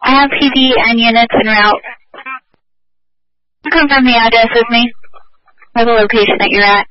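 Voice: medium pitch, fairly even intonation, normal speed, dry recording. A dispatcher speaking clipped, procedural emergency traffic over a two-way radio. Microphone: radio dispatch microphone. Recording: dull lo-fi radio transmission.

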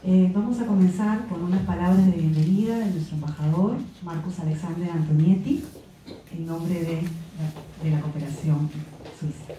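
Polite, low-voiced woman moderating an event. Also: mellow, slight background noise. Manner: polite, with filler pauses. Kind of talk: formal event announcements. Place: reception room at an embassy, indoors. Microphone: handheld microphone at a lectern. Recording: echoey room, distant mic.

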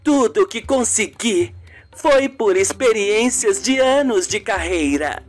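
A woman's voice: medium pitch, animated, takes it slowly, soft background music. A woman voicing a young, confident, indignant and proud actress in a radio soap. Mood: proud, defiant.

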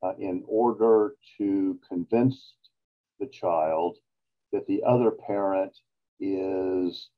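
An older man explaining as an expert guest, halting and deliberate, over a video call. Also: deep voice, steady tone, narrow, tinny audio. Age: 60s or older. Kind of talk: interview explanation. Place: small indoor office. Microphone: video call webcam.

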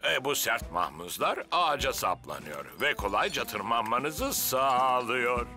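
An older cartoon fire station chief. He instructs with brisk authority and long-suffering patience. His delivery is animated, with music playing behind. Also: mid-range voice, full character voice. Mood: brisk, instructive. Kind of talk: Turkish cartoon dub acting.

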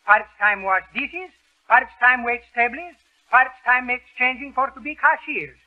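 Greek immigrant restaurateur, radio comedy: very high voice, fractured English, thin vintage broadcast sound. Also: male voice, unhurried. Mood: genial, garbled. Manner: garbled grammar, malapropisms. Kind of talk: 1930s radio comedy acting. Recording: muffled, phone-like 1930s radio recording.

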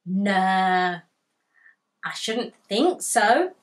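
A woman voicing a friendly young male dog in a children's storybook, with gentle, expressive phrasing. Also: mid-range voice, extremely slow. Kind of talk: children's storybook character read aloud.

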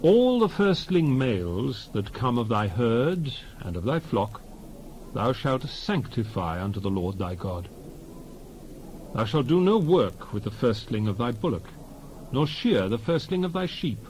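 A man giving a solemn, declamatory dramatized reading of scripture in measured phrases. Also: mid-range voice, very animated, a little mellow, slight background noise, low-quality recording. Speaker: Bible reader.

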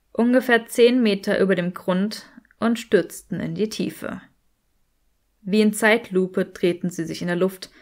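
A woman reading a story as an audiobook narrator, in an expressive scripted style. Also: mid-range voice.

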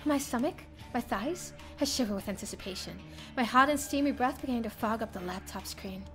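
A woman streamer reading a visual novel aloud as first-person narration, expressive and theatrical. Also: fairly high pitch, brisk. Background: game music.

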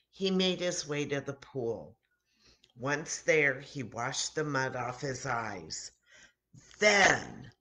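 Low-voiced older American woman reading a children's story. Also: a bit bright. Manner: dramatic, deliberate, acting out dialogue. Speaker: children's story time host at a church. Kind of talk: expressive read-aloud of a children's Bible story. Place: home kitchen, indoors. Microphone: webcam or laptop mic at arm's length.

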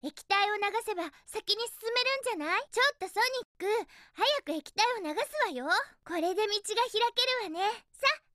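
A woman voicing a cheerful, feisty young female hedgehog in a Japanese video game, with a perky, girlish and expressive delivery. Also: very high-pitched, moderate pace, hardly any pauses, no room sound, studio quality, full character voice. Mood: perky, determined.

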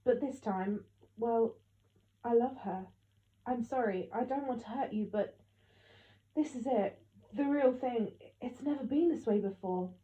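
A woman's voice: a little high, measured pace, long pauses, mellow, dull audio, close to an everyday voice. A woman voicing a grave, apologetic father in an audiobook, earnest and resolved.

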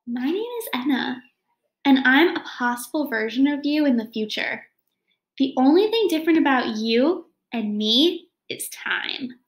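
A young woman voicing a storybook girl's gentle, knowing grown-up future self, earnest and reassuring. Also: high voice, animated, measured pace, echoey room. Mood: hushed, mysterious.

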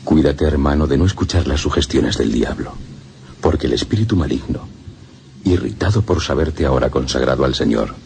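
An actor playing a stern, watchful abbot in a radio drama, preaching solemnly. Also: male voice, extremely low pitch, animated, moderate pace, vocal fry, darkish tone, slight background noise, full character voice. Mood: grave, admonishing.

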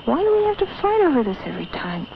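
A nervous teenage girl's thoughts voiced by a young woman, murmured in hushed, self-doubting half-whispers with a gravelly edge. The sound is a dull old film soundtrack with clear hiss underneath. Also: high voice, sing-song, very fast, heavily acted. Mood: pleading. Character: anxious teenage girl on a date. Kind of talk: inner-monologue voice-over.

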